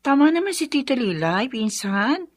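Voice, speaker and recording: Frank, animated Filipino cousin. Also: female voice, sits high, normal speed, dry recording. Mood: persuasive. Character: frank, persuasive female cousin back from working abroad. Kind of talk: Filipino radio drama acting.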